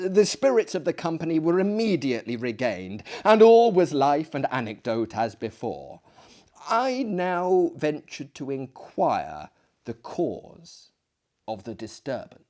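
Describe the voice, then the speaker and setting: A man's voice: medium pitch, a little mellow. A British man narrating a story theatrically, with self-mocking asides. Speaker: storyteller and performer.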